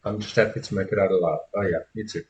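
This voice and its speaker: A man's voice: low-pitched, steady tone, quick pace, a little mellow, a little hiss or hum. A man chatting casually with viewers as a live game streamer. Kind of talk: casual chat with viewers while gaming. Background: game music.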